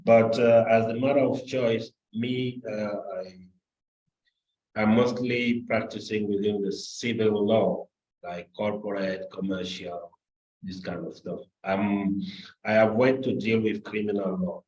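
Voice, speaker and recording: A man's voice: on the low side, steady tone, a little slow, darkish tone. A man from Cameroon, a corporate lawyer introducing himself on a video call, hesitant and often correcting himself. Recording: echoey, dull video-call audio.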